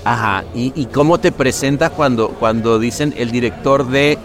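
A man hosting a casual interview, relaxed and slangy, often saying "güey". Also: mid-range voice, moderate pace. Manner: relaxed, slangy, frequent "güey" and "qué chingón". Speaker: podcast host.

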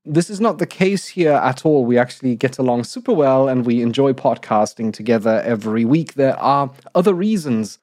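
A slightly croaky man in his thirties co-hosts a podcast, articulate and measured, with frequent laughs. His voice sits at an ordinary pitch. The recording is dry and close, with no room sound. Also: expressive, darkish tone, dry recording. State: slightly croaky, recovering from illness. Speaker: podcast co-host. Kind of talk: casual podcast announcement.

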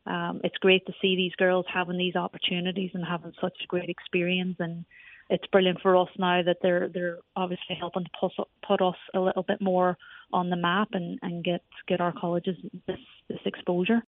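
A woman's voice: fairly low pitch, monotone, brisk, dry recording. A woman with an Irish accent, a soccer coach, talking in a chatty phone interview with many restarts. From Ireland. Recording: tinny phone line.

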